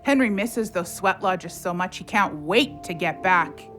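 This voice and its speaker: An actress playing a defiant, hurt young wife in an audio drama, with a biting, sarcastic manner. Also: female voice, on the low side, expressive, measured pace, fairly bright tone, faint music behind. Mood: biting sarcasm.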